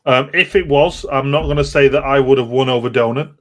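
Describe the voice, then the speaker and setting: A man in a casual chat, explaining things in a halting, rambling way. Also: medium pitch. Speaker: podcast host.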